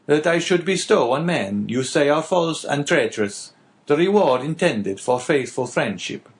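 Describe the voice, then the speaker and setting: A man voicing a calm, formal priest in an audiobook, with a measured, coaxing way of speaking. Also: a little high, pitched above the performer's own voice. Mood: probing. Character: calm, probing older male priest. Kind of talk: audiobook character voice.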